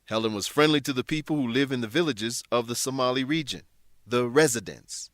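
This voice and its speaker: A man's voice: medium pitch, fairly quick, a bit bright, dry recording, clean studio sound. A man narrating a scripted educational radio program as host and English teacher, addressing listeners in an explanatory way.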